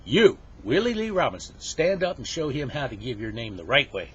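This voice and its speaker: A man's voice: medium pitch, big pitch swings, brisk, husky, voice pushed up. A man voicing a stern, bossy female schoolteacher who barks clipped orders. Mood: stern, demanding. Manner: barking orders, clipped and demanding. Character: stern, bossy female schoolteacher putting pupils in their place. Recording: dull, noisy lo-fi recording.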